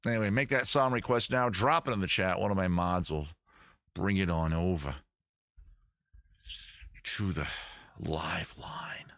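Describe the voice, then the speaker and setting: A man's voice: sits low, a little slow, pauses often, fairly bright tone, no room sound, clean studio sound. A man hosting a live stream, chatting casually between songs in a rambling, jokey, self-mocking way, a little tipsy from drinking. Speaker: live-stream host and singer. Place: room at home. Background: faint guitar.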